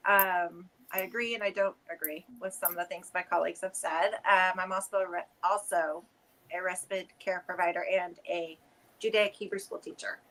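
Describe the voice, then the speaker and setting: A woman in her forties, a special education teacher, speaking lively and thoughtfully on a podcast panel. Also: on the low side, normal speed, low-quality recording. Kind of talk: casual podcast panel talk.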